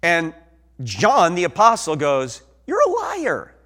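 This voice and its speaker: A man preaching a sermon in an Ozark accent, swinging from soft asides to loud emphatic peaks. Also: on the high side, wildly swooping pitch, slow pace.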